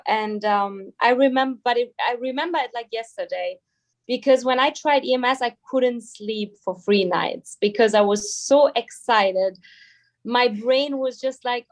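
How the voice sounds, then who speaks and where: A woman with a German accent talks in a chatty, lively way about her fitness work. Her voice sits fairly high. She fills her talk with "like" and "you know.". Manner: chatty, lots of "like" fillers. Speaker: EMS trainer, guest. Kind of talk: casual webinar talk.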